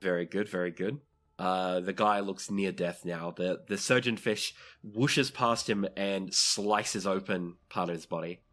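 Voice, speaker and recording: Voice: deep voice, fairly even intonation, a little slow, fairly bright tone. A game master casually narrating and running a tabletop roleplaying game, playful and free with swearing.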